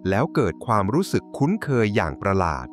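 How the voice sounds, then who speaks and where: A man narrating a folk tale from a script in a dramatic, suspenseful, expressive way. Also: on the low side, quick pace. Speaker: storyteller. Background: music.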